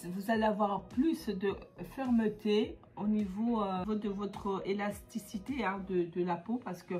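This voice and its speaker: A woman's voice: medium pitch, unhurried, warm tone, faint music behind. A woman from the Comoros with a stuffy nose from a cold, chatting casually through a beauty how-to vlog with many hesitations. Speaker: beauty vlogger.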